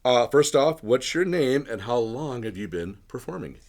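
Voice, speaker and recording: Slightly hoarse, chatty podcast host man. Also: medium pitch, lively intonation, moderate pace, a little mellow. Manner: chatty, rambling, frequent throat clearing. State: hoarse from bronchitis. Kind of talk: casual interview chat.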